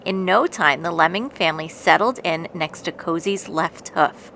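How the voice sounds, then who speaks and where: A woman reading a children's picture book aloud in an expressive, deliberate storytelling manner. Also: on the low side, a little hiss or hum. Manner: deliberate, dramatic storytelling with acted voices. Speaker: storybook reader.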